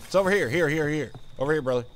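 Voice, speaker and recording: A man's voice: fairly high pitch, rapid-fire, a little hiss or hum. A man in his thirties chatting casually and rambling with self-mocking humor while he streams a game. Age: 30s. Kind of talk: casual gaming livestream chat.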